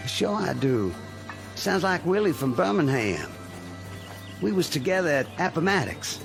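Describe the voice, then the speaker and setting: An actor playing a polite, wounded young Confederate soldier with a Southern American accent, in humble radio drama style. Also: male voice, a little high, big pitch swings, a little slow, gravelly, exaggerated voice. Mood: eager. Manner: deferential, plain-spoken country talk. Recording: music behind the voice.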